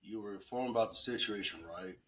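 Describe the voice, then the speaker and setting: A man's voice: lowish voice, quick pace, natural voice. A man playing a terse, concerned police officer in a script table read, with a clipped, businesslike delivery.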